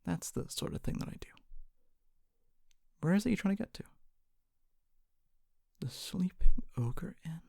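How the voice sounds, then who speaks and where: A performer voicing a kindly, humble fantasy city guard in a soft-spoken ASMR roleplay, often whispering, with a gentle, hesitant and reassuring manner. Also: medium pitch, slightly rough, no echo, clean studio sound, exaggerated voice. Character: kindly, humble city guard, wannabe knight, calming and helpful.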